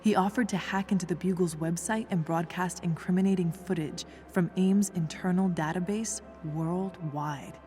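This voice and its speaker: A woman's voice: fairly low pitch, moderate pace, few pauses, warm tone, faint music behind, close to an everyday voice. A woman voicing a tough, smart young scientist in a video game, dry and matter-of-fact. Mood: businesslike. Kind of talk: video game voice acting, naturalistic dialogue.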